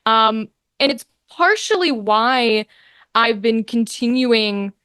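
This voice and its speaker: Female interview guest, slightly high voice, casual and expressive, performer's flair, very dry sound. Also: slow, clear, resonant tone, no room sound. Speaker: guest, actor and game master.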